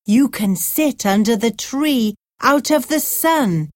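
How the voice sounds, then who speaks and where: Lady doing sweet little bees, coaxing, slow and lilting. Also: fairly high pitch, expressive, no echo, clean studio sound, voice pushed up. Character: busy friendly bees in chorus. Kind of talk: storybook reading for pupils.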